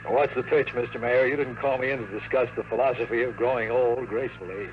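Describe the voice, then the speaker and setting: An American actor playing a cocky, wisecracking private investigator in a 1950s radio drama, with dry, slangy tough talk. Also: male voice, medium pitch, fairly even intonation, fast, natural voice. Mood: dry, shrewd. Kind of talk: 1950s radio drama acting, hardboiled narration. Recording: noisy vintage 1950s radio recording.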